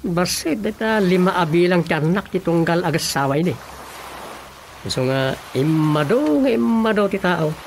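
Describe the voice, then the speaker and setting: A performer playing a calm, patient adult child advising a worried father, with an Ilocano Filipino accent, in a radio drama comedy. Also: mid-range voice, measured pace, gravelly, slight background noise. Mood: concerned.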